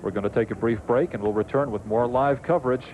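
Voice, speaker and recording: A man's voice: medium pitch, fast, darkish tone, a little hiss or hum, rough recording. A man giving easygoing live commentary on a street parade, joking with his co-host.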